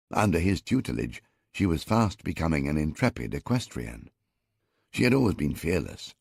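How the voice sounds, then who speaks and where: A man narrating an audiobook in the first person, with a measured, wry storytelling manner. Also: rumbling low, creaky, husky, no echo, clean studio sound.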